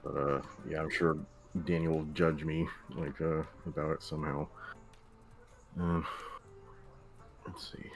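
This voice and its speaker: Low-voiced laid-back male streamer. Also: level tone, measured pace, vocal fry, long pauses, faint music behind. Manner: laid-back, rambling with many fillers. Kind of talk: casual livestream chat.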